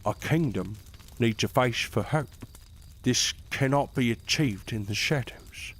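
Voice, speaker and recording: A man's voice: mid-range voice, measured pace. A man voicing a loyal, aging royal advisor who speaks in a formal, courtly way in a fantasy audio drama. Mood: earnest. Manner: formal, courtly, gently doubting.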